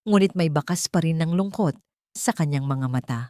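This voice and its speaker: Low-pitched Filipino woman narrating drama. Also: moderate pace, warm tone, dry recording, studio quality. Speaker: audiobook narrator. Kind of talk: scripted dramatic story narration.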